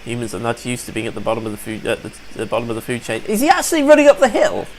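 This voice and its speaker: A man's voice: medium pitch, very animated, very fast, a little hiss or hum, poor audio. A man giving casual, upbeat commentary over a survival game, a bit sniffly from allergies and chatting to viewers as folks. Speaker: let's play gamer.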